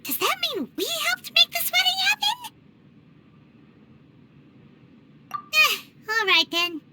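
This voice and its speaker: A woman voicing a tiny, excitable female fairy companion in a video game, with a squeaky, childlike, bubbly delivery. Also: normal speed, slight background noise, exaggerated voice. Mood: sheepish. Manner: speaks of herself in third person, bubbly. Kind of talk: video game character acting. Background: game music.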